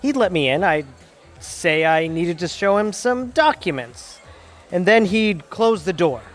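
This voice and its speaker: A man's voice: high-pitched, expressive, unhurried, soft background music. A man playing an overconfident, easily flustered lawyer in an audio drama, defensive and hesitant. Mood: boastful.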